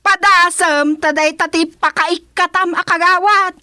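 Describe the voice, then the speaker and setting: A woman with an Ilocano accent, acting in a comedy radio drama, shrill and confrontational. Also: steady tone, measured pace, pushed and bright, no room sound, studio quality.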